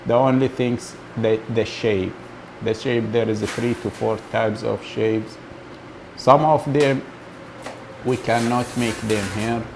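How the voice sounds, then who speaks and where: A man from Iraq, a grocery store owner speaking haltingly in a documentary interview, often pausing to find his words. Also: medium pitch, steady tone, gravelly, background noise.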